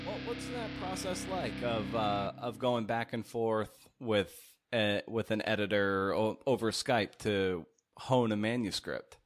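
A man's voice: on the low side, animated, unhurried, few pauses, faint music behind. A man hosting a podcast, speaking in a punchy, emphatic way with playful exclamations. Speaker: podcast host. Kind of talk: podcast intro and interviewing.

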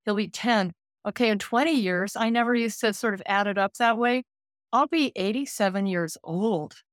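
A woman in her late sixties, a care manager and counselor, speaking gently and thoughtfully in an interview, often pausing to find her words. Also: medium pitch, no echo, studio quality. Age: 60s. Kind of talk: reflective interview conversation.

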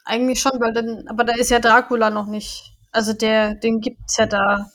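A woman co-hosting a casual podcast, agreeing often and adding quick remarks. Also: a little high, monotone, a bit bright. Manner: agreeable, adds quick remarks and short yeses. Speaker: podcast co-host. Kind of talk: casual chat.

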